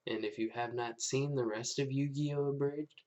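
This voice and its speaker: A man's voice: medium pitch, fairly even intonation, moderate pace, a little mellow, low-quality recording. A man casually introducing a reaction video as its host.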